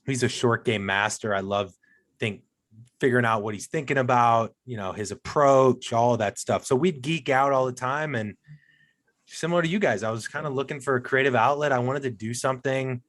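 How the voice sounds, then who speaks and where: A man's voice: medium pitch, a little fast, fairly bright tone. A man guesting on a golf podcast, chatting casually and telling stories with self-deprecating humor. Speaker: podcast guest, co-host of another golf show. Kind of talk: casual interview chat.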